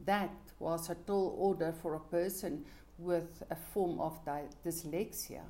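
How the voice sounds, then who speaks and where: A middle-aged woman whose first language is Afrikaans, giving an earnest, measured motivational talk about her own life. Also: fairly low pitch, steady tone, mellow. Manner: earnest, measured, with small restarts.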